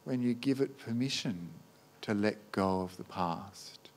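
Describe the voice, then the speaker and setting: A man guiding a meditation as a teacher, speaking gently in short, unhurried phrases with long pauses. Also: lowish voice. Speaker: meditation teacher, Buddhist monk. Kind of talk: guided meditation.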